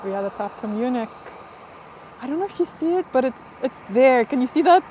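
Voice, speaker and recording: A woman's voice: high-pitched, animated, fairly quick. A woman hosting a casual live stream outdoors near an airport, chatting with viewers in accented English and laughing often. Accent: non-native English, neither Portuguese nor Spanish. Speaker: livestream host. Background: traffic and ambient noise.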